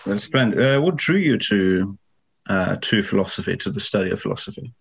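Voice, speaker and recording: Polite, low-voiced podcast interviewer. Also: male voice, lively intonation, creaky, slightly rough. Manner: polite, halting. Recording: dull lo-fi sound with faint music.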